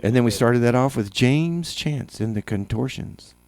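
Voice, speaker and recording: A man hosting a community radio show, chatting loosely and haltingly between songs from a studio mic. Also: mid-range voice, animated, a little mellow, dry recording. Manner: halting, full of uh and repeated starts. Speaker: radio DJ and host. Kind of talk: rambling casual radio chat.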